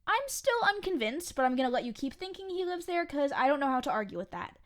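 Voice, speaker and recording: A woman's voice: sits high, big pitch swings, fast talker, a bit bright. A young woman playing a sarcastic, grumbling eighteen-year-old waitress in a fiction podcast, snippy and sardonic. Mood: irritated. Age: young adult. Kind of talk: audio fiction podcast acting, conversational.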